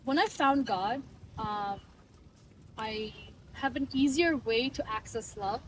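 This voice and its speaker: A young woman from Bangladesh gives a casual interview testimony in a high, light voice, earnest and reflective and quick to laugh. She sits a little away from the microphone. A faint background noise runs under her words. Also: recorded from a distance, low-quality recording. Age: 20s. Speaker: client giving a testimonial.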